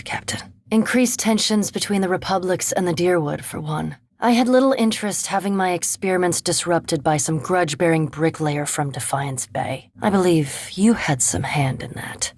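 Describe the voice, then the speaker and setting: Video game vampire academic: centuries old, composed, curious, erudite; slightly husky female voice, recorded close and dry. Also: fairly low pitch, darkish tone, no echo. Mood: composed, pleasant. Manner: erudite, measured, formal. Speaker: animancy researcher and ship companion in a fantasy video game. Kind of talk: video game character dialogue.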